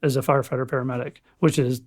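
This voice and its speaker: A young man from Florida, a firefighter paramedic, chatting casually as a podcast guest in a relaxed, rambling way with casual swearing. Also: mid-range voice, racing. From Florida, USA. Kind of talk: casual chat.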